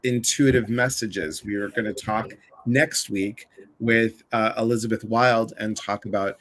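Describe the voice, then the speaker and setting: A man's voice: on the low side, steady tone, a little slow, fairly bright tone, slight background noise. A man from the Chicago area hosting a casual livestream conversation, chatty and full of asides. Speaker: livestream host.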